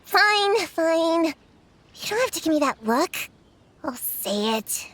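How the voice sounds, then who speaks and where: Game role: proud young girl witch hunter, tiny high voice, slow delivery, defensive, bashful. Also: very animated, a bit bright, full character voice. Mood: reluctant, embarrassed. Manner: earnest, defensive, reluctantly sweet. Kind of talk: scripted anime-style video game acting.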